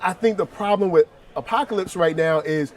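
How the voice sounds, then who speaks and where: A man's voice: high voice, normal speed. A man on a fan panel talking casually, laid-back and agreeable, with easy slang.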